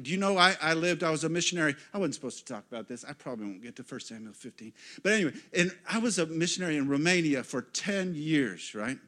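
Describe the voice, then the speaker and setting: A man preaching in a church, telling stories and swinging from quiet asides to loud, excited exclamations. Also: a little high. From United States. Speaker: guest pastor. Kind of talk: sermon.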